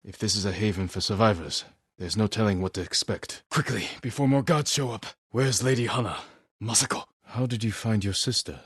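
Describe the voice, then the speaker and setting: An actor playing a stoic, honorable samurai warrior in a video game, speaking in a measured, formal and grave way. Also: male voice, on the low side, husky, no room sound, studio quality. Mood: grave, questioning, then urgent, commanding. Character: stoic, honorable samurai warrior, adult man, solemn and protective. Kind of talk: dramatic video game acting.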